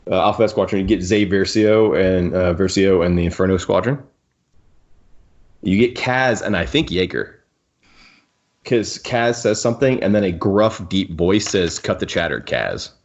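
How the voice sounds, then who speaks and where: A man's voice: low voice, moderate pace. A man chatting casually and keenly as a podcast co-host about fan details. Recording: dull, lo-fi microphone sound.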